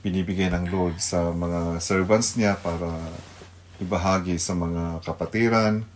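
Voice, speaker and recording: A man with a Filipino accent hosting an unscripted devotional radio program as a pastor, in a halting, deliberate way. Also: sits low, fairly even intonation, a little hiss or hum.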